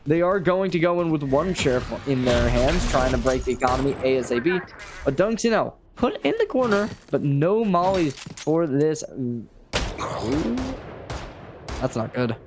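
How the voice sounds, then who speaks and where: A man with a fairly high voice commentates on an esports match as the analyst. His delivery is conversational and emphatic, and there is clear noise with clicks behind it. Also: very animated, measured pace.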